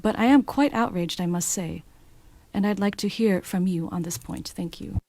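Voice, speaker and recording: A woman interpreting a meeting speech simultaneously, relaying it at a measured, steady pace. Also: medium pitch, dry recording.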